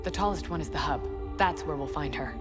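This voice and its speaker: An actress playing a determined young resistance fighter in a video game, urgent, earnest and forthright. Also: female voice, low-pitched, brisk, over loud music, lightly acted. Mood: focused, earnest. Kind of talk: video game cutscene acting.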